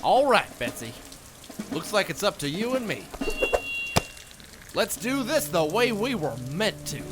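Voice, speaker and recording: Behind loud machinery noise, a folksy cowboy working man in a radio drama. His delivery swings freely in pitch, yet he stays plain, unflappable and a little slow on the uptake. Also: big pitch swings. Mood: resolute. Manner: plain, slow-witted, colloquial. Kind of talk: audio drama acting.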